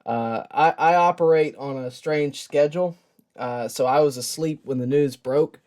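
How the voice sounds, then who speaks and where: A man hosting a sports show, giving a personal tribute in a reflective, earnest and halting way with pauses. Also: a little high, a little mellow. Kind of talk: personal tribute talk to camera.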